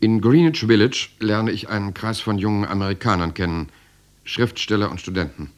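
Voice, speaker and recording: Actor doing a deep voiced serious writer telling his resistance story, measured radio drama narration. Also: faint music behind, lightly acted. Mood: sober, reflective. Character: sober German writer recounting his resistance years.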